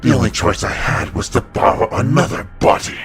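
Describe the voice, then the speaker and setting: A man's voice: low-pitched, lively intonation, brisk, music in the background. A man playing an evil ancient winged serpent spirit in a cartoon comic dub, gloating and mocking with theatrical delivery. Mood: gloating, sinister.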